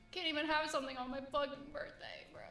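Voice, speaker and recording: High, tearful woman venting, echoey. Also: vocal fry, pushed and bright. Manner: upset complaining with sighs. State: exhausted and tearful. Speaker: streamer. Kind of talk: venting on stream. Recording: echoey room, distant mic, faint music.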